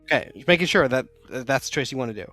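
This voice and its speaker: Man, game master, mid pitch, tabletop talk, faint music behind. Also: lively intonation, rapid-fire, pushed and bright. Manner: explaining rules, with restarts.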